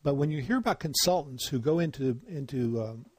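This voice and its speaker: A man's voice: medium pitch, expressive, brisk, husky, no echo. A man giving an informal lecture, talking in a conversational way with lots of fillers and asking "right?" to check in. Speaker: instructor.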